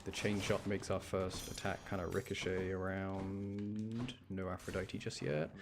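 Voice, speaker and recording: A man's voice: sits low, little pitch movement, a little slow, crowd noise. A man with a British accent chatting casually and rambling as he thinks aloud while commentating on a video game he is playing. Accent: British, generic English. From English Midlands, UK. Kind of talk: casual chat with gameplay commentary. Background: game music.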